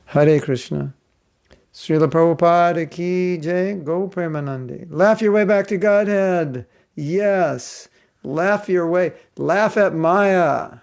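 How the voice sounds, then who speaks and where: A man's voice: high voice, slow, gravelly, darkish tone, clear, resonant tone. A man giving a casual, joking class as a spiritual teacher, chatty and rambling. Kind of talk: casual online class talk.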